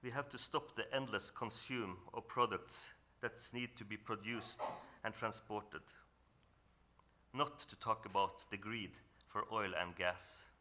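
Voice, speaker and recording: A man from northern Norway with a Norwegian accent gives an earnest, reflective talk on a panel, often pausing and restarting as he searches for English words. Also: lowish voice, fairly even intonation, bright, forceful tone, slight background noise. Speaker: panel speaker. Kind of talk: reflective presentation at a symposium.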